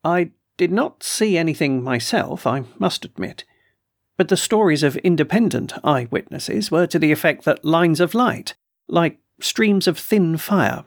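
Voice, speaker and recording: A man reading aloud and voicing an excitable, blustering military colonel with emphatic outbursts. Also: on the high side, gravelly, no room sound, clean studio sound. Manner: agitated, emphatic, snorting outbursts. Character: blustering excitable middle-aged male colonel.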